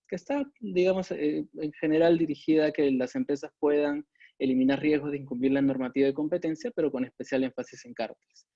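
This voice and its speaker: A man giving an informal expert lecture as a webinar presenter, speaking haltingly with frequent pauses over a video call microphone. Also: mid-range voice, warm tone, no room sound. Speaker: webinar presenter, competition agency adviser. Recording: dull compressed webinar audio.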